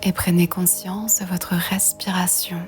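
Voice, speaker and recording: A woman guiding a relaxation meditation in a soft, breathy, half-whispered voice, soothing and gentle. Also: on the low side, level tone, measured pace, soft background music. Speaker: yoga nidra guide.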